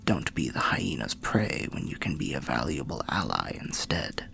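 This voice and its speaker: A man voicing a weary old undead soul, telling fantasy lore softly in a low, gravelly, breathy roleplay as a slow, courteous, cryptic storyteller. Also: very animated, slight background noise, full character voice. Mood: wry, cautioning. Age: older. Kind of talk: soft-spoken fantasy roleplay ASMR narration.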